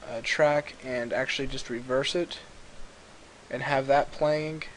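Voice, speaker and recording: A man hosts a software tutorial in a casual, rambling way. His pitch is average for a man. He is on a computer mic set a little away, with clear hiss over the recording. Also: steady tone, a little slow. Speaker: tutorial host. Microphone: computer mic a little far away.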